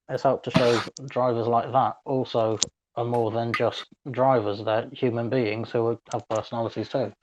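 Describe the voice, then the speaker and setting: A man chats as a sports podcast co-host in a mid-pitched voice. He feels his way through each point, often rewording it. The sound is a little muffled and lo-fi. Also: a little mellow. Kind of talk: casual sports discussion.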